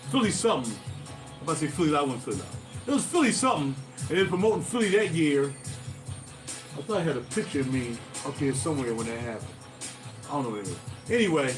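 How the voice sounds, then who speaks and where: A man's voice: fairly high pitch, a bit bright. Philly man rambling on a livestream. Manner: digressive, loses his thread, talks to viewers directly. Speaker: musician hosting a livestream. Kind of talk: casual rambling livestream storytelling. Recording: distant mic with music playing behind.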